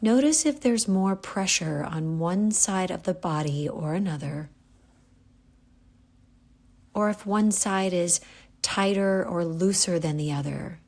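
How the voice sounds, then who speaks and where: A woman guiding a yoga nidra relaxation, giving calm, gentle, unhurried instructions with pauses. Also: lowish voice. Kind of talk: guided relaxation meditation.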